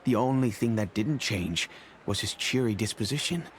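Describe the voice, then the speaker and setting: An actor playing a fatherly middle-aged merchant who speaks in a measured, reflective, remorseful way, over faint music. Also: lowish voice, expressive, a little hiss or hum, close to an everyday voice. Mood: thoughtful. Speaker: wealthy patron and father figure. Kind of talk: video game voice acting. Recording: game audio with faint music.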